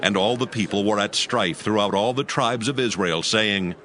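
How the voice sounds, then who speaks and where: A man's voice: lowish voice, normal speed, a bit bright. A man giving scripted dramatic narration of scripture, telling the story solemnly in archaic English.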